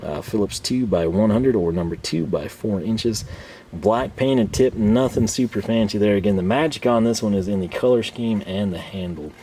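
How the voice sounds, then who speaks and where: Man, low pitch, dark mellow tone, conversational product commentary, self-deprecating, slightly tired voice. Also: moderate pace, a little hiss or hum. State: tired voice starting to give out. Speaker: host of tool review channel. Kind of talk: casual unscripted product haul commentary.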